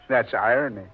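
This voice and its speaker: A man playing a suave, mocking Devil who ferries souls, speaking with slow, sardonic deliberation in an old radio horror drama. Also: medium pitch, husky. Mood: amused, commanding. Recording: muffled old-time radio recording.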